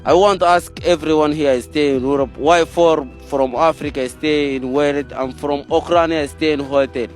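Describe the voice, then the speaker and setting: A man's voice: on the high side, steady tone, moderate pace, few pauses, soft background music. A man, not a native English speaker, pleading in broken English in a street interview, repeating himself. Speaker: refugee interviewee.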